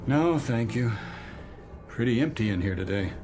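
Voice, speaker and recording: A man's voice: mid-range voice, lively intonation, moderate pace, over music, natural voice. An American actor playing a weary, distracted middle-aged commuter in a radio drama, polite and hesitant as he speaks. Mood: guarded, wistful. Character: weary, distracted married male commuter, wistful and evasive.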